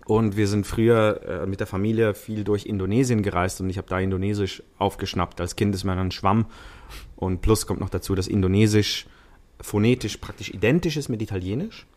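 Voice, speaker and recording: Lively man, low voice. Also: brisk. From Switzerland. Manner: lively, talkative, laughs easily, many fillers. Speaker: podcast guest, musician. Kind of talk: casual chat.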